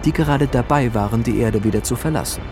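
An actor playing a smitten manservant who recounts fantastical travel adventures in a musical radio drama. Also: male voice, mid-range voice, fast talker, lightly acted. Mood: storytelling wonder. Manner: storytelling, theatrical. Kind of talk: musical audio drama narration. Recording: studio radio play with music behind.

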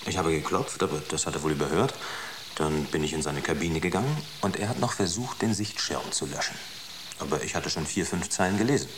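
Sceptical spaceship scientist, low voice, matter-of-fact, clipped phrases, radio play, background noise. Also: male voice, a little fast. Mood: concerned, insistent. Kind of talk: naturalistic radio drama acting. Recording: noisy radio drama recording.

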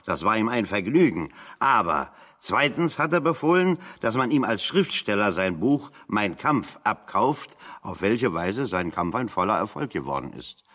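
A man's voice: lowish voice, normal speed, hardly any pauses, full character voice. A man acting in a German radio play as a plain-spoken, dryly ironic exile in conversation. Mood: wry, mocking. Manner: deadpan, ironic.

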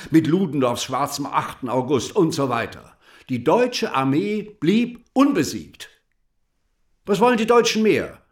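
An actor playing a bitter, patriotic French writer and deputy in a radio play, eloquent, rhetorical and ironic. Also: male voice, on the high side, lightly acted.

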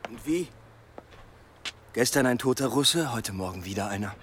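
Actor as a terse, dogged police detective. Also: male voice, medium pitch, expressive, moderate pace, a little hiss or hum, natural voice. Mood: tense, accusing. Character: dogged, sleepless young police detective in old Berlin. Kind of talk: radio play acting.

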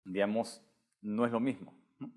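A man's voice: fairly low pitch, steady tone, normal speed. Conversational man hosting an interview. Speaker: interviewer, host.

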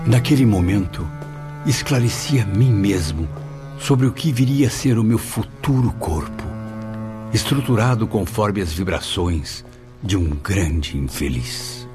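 A man's voice: on the low side, unhurried, over music, natural voice. A Brazilian man playing a troubled, inquisitive spirit of a suicide, with formal, dramatic radio drama delivery. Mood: reflective.

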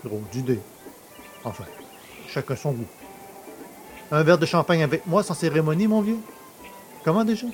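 An actor playing a jovial, boastful country poacher in a French audio drama, chummy, cheeky and colloquial. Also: male voice, a little high, moderate pace, long pauses, faint music behind, close to an everyday voice, low-quality recording. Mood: cheerful, familiar. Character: jovial, boastful, familiar rural poacher just freed.

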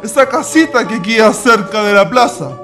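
A man's voice: high-pitched, lively intonation, normal speed, over music. A man playing a courteous, earnest Chilean idealist in a radio drama.

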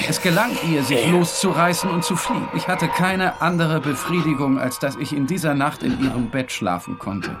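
An actor playing a tormented, obsessive man, narrating his own story in a deliberate, brooding and intense literary style. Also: medium pitch, close to an everyday voice. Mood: agitated, then weary, haunted. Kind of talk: dramatic first-person literary narration. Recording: studio narration over clear music.

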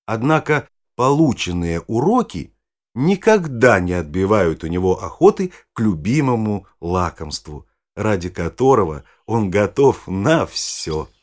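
A man playing a knowledgeable nature guide, narrating a children's audio play in a measured, expressive, explanatory way. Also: lowish voice. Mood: expressive explaining. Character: knowledgeable guide showing children forest animals. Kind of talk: scripted storytelling narration for children.

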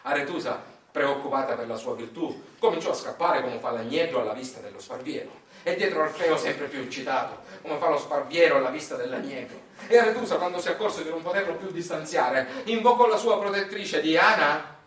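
A man's voice: fairly high pitch, fairly quick, a bit bright. A man with a Sicilian accent performing a dramatic, ironic storytelling monologue, slipping into dialect. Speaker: stage storyteller, monologue actor. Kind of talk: theatrical narrative monologue, satirical storytelling. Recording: roomy, distant microphone.

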